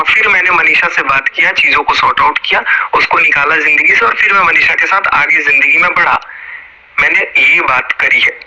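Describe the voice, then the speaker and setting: A man's voice: on the high side, highs cut off. A man in an interview, explaining at length in a rambling, casual way and often checking in with his listener. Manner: rambling, keeps checking in with "okay?". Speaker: interview guest. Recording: loud background noise.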